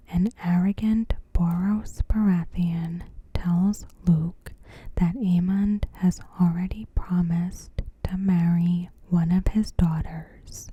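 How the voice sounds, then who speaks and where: A woman narrates in ASMR style with a very breathy, whispered voice. She takes her time, moving extremely slowly through carefully phrased recap narration. Her tone is soft and very dark, without any hard edge. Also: lowish voice, no room sound, muffled recording. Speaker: ASMR creator.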